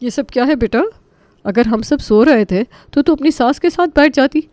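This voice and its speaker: A woman's voice: sits high, moderate pace, darkish tone, no room sound, voice pushed up, close to an everyday voice. A woman voicing an indignant mother scolding her grown daughter, sharply and emphatically, in a story.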